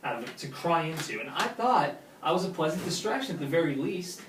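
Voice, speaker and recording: A man's voice: fairly high pitch, animated, fairly quick, natural voice. An actor playing a lovesick, pushy man pleading earnestly with his best friend in naturalistic film dialogue. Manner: earnest, insistent. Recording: roomy, distant mic with faint noise.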